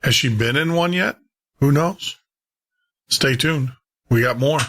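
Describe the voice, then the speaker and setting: A man's voice: medium pitch, unhurried, a bit bright. A man hosting a show, chatting to listeners in an informal, rambling way. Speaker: podcast host.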